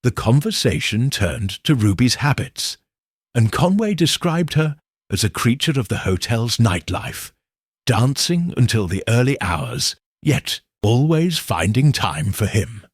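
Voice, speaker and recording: Guy narrating a mystery story, unhurried and animated, bit of rasp, no room echo. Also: medium pitch, studio quality. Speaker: audiobook narrator.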